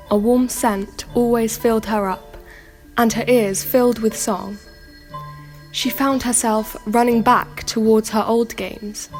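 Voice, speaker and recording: A thoughtful young daughter in a radio play, acted by a woman. She narrates in an unhurried, measured storybook manner at a medium pitch. Soft music plays behind her. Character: pensive daughter telling her own dark fairy tale.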